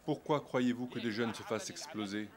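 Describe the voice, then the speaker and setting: A man's voice: mid-range voice, fairly quick. Indignant man speaking over a crowd. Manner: indignant, pleading. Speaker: interviewee. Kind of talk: impassioned testimony. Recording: outdoor street recording with crowd. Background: crowd noise.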